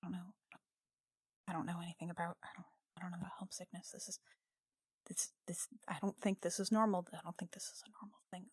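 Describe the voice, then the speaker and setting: An anxious female spacefarer acted by a woman in a tabletop game, caring and frayed. She speaks haltingly, circling back over her words, in a very dry recording. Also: lowish voice, level tone, slightly rough, no room sound. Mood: quietly panicked.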